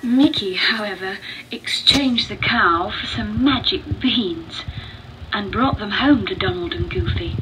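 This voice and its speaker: Slow-reading woman storyteller, noisy recording. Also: mid-range voice. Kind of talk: read-along storybook narration.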